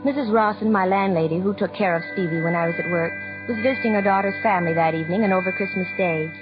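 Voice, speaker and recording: An actress playing a gentle widowed young mother, narrating and acting in 1940s radio drama style on a muffled old recording. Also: fairly low pitch, quick pace, over loud music, lightly acted. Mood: reflective.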